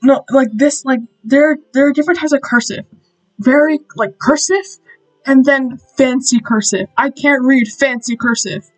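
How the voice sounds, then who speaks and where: A woman's voice: high voice, normal speed, soft background music, poor audio. Chatty young woman streamer. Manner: chatty, swears and laughs at her own slips. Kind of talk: casual game stream chat.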